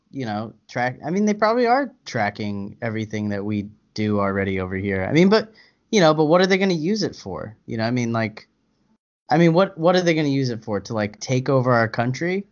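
A man's voice: medium pitch, big pitch swings, brisk, lo-fi sound. A man chatting as a podcast guest, hesitant and stammering as he thinks aloud, chuckling often. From United States. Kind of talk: casual podcast banter.

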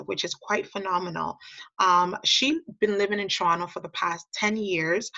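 A woman hosting a podcast, her voice bright and lower than most. She reads her introduction in a formal, measured way that sounds scripted. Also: lo-fi sound. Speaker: podcast host. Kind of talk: read-out podcast intro and interview questions.

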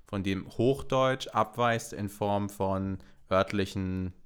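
A man's voice: lowish voice, slow pace, clear, resonant tone. A man with a North Rhine-Westphalian German accent co-hosting a podcast, blunt, easygoing and slangy. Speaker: podcast co-host. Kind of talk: casual chat.

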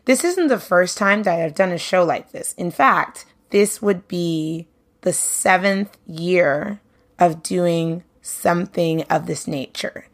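A woman's voice: lowish voice, slow. A young adult woman from Brooklyn, hosting her own podcast in a chatty, upbeat, casual way, full of "you know"s. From Brooklyn, New York. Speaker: podcast host, singer and bandleader. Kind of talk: casual solo podcast monologue.

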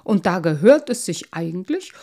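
A woman voicing a proud, kindly water-sprite father in a storybook, speaking in a measured way. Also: fairly low pitch, big pitch swings, mellow. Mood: approving. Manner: measured, appreciative. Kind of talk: storybook character voice.

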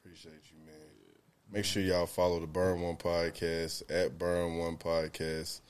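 A man's voice: sits low, flat delivery, measured pace, smooth. Laid-back man hosting a podcast. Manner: laid-back, slangy storyteller. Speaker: podcast host. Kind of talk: casual chat.

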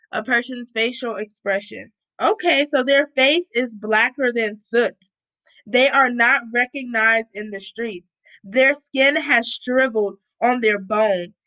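A woman with a bright, slightly high voice reads scripture aloud at an unhurried pace. She is informal about it, breaking off for casual asides and correcting herself as she goes. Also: rough recording. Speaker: host reading scripture aloud with commentary. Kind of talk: Bible reading with casual asides.

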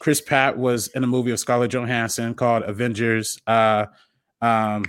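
A man's voice: medium pitch, little pitch movement, normal speed, a little hiss or hum. A man guest on a casual podcast, thinking aloud with many ums and restarts.

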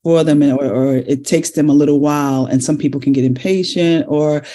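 A man hosts the interview, asking long questions that wander and restart as he searches for words. His voice is mellow and warm, sitting a little high for a man. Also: steady tone, fairly quick. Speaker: host.

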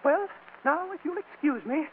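A falsetto, prim spinster lady. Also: expressive, moderate pace, narrow, tinny audio. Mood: coy, sweet. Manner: genteel, coy, fussy. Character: man disguised as his prim, touchy spinster sister. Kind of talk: 1940s radio comedy acting. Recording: noisy, band-limited old radio recording.

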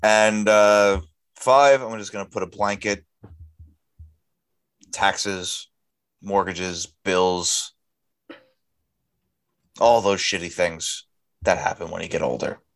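A man's voice: low voice, fairly even intonation, slow, pauses often, fairly bright tone, clean tone. A young man co-hosting a casual podcast chat, talking bluntly and grumbling, with frequent swearing. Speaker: podcast co-host.

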